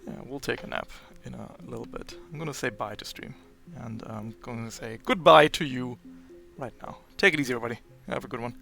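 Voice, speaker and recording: Hoarse, gravelly game master man. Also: mid-range voice, bright, forceful tone, soft background music. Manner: upbeat, brisk rules calls. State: hoarse and tired. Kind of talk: running a tabletop game on stream.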